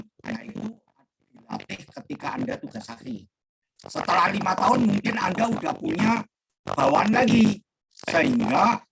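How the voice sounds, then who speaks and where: Raspy middle-aged guy lecturing on a crackly video call, lively pitch, gravelly. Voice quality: raspy, hoarse. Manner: slow, deliberate explaining. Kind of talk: online lecture to students. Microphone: computer mic on a video call. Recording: noisy call line.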